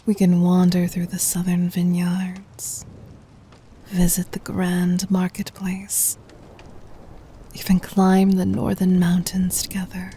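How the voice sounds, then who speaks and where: A woman playing a gentle, doting demon queen in an ASMR roleplay, in a soft breathy whisper with tender, formal, intimate delivery. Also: on the low side, completely flat delivery, takes it slowly, slight background noise. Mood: fond, relaxed.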